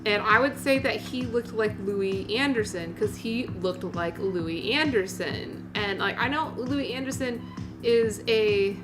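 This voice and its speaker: A woman in her late thirties hosts a casual livestream with clear music playing behind her. Her voice sits at an average pitch for a woman. She talks in an informal, rambling way, peppered with filler "like" and exclamations as she responds to viewers. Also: expressive, a little slow. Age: late 30s. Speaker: livestream host.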